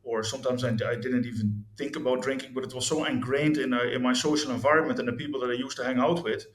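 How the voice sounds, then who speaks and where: A man's voice: medium pitch, fairly even intonation, fast. A man in his fifties from the Netherlands, a guest telling his story in an interview, hesitant, with many restarts and fillers. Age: 50s. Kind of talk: candid interview talk about his life. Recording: echoey room.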